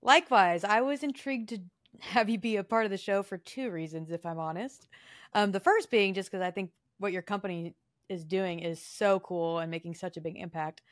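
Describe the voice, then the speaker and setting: A woman's voice: medium pitch, normal speed. A woman hosting a podcast, reading an upbeat introduction and then chatting casually with her guest. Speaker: podcast host.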